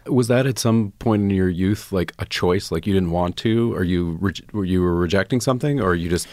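A man's voice: deep voice, brisk, a little mellow, no echo. A man hosting an interview podcast, asking curious, rambling questions with restarts. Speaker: podcast host. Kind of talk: casual interview.

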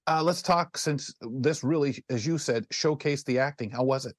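A man hosts a talk show in a conversational way, mixing questions with his own comments. His mid-pitched voice sounds very dry, with no room around it. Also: normal speed, dry recording. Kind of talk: conversational interviewing.

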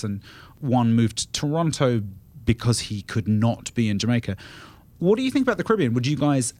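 A man guest-hosting a podcast discussion, talking quickly and with animated enthusiasm in a studio. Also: mid-range voice. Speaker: guest host, travel editor.

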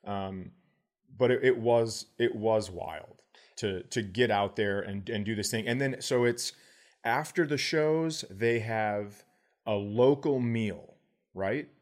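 A man's voice: on the low side, slow. A middle-aged American man chatting casually and telling stories as a podcast host, in an informal, profane way. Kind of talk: casual chat, storytelling.

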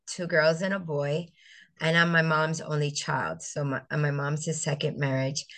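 A middle-aged woman raised on St. Croix speaks in a fairly low voice. She tells her story reflectively, pausing with fillers and restarting her sentences as she goes, in an easy interview tone. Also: pushed and bright. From US Virgin Islands (St. Croix). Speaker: guest, educator and business founder. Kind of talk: conversational interview.

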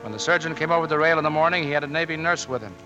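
Tough Navy chief, blunt and slangy, sharp forceful voice, 1940s radio drama. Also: male voice, on the high side, racing, dull audio, faint music behind. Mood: grim, measured. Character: gruff, tough Navy chief petty officer, protective big brother. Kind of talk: 1940s radio drama acting with scripted narration. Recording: 1940s radio broadcast recording.

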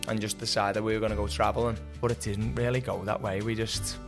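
Man in his thirties, Liverpool accent, low-ish voice, casual rambling podcast guest, faint music underneath. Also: little pitch movement, fast, vocal fry. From England. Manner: chatty, rambling, laughs often. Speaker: podcast guest, former student. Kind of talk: casual interview chat.

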